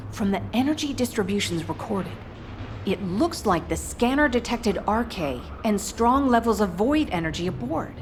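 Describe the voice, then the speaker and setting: A driven, grieving female soldier in a video game. Her mid-pitched voice is serious and deliberate, with clear ambient noise behind it. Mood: solemn.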